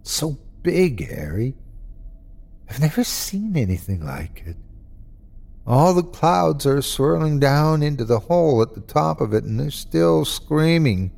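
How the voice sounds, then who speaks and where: A man voicing an anguished dying man in a horror story, his voice strained and halting as he speaks in short bursts. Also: fairly high pitch, big pitch swings, gravelly, a little mellow, voice pushed up, exaggerated voice. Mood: dread, awe. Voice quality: strained, sometimes whiny or growling. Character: dying man, frightened, awed, turning bitter and hateful. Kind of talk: dramatic horror story reading.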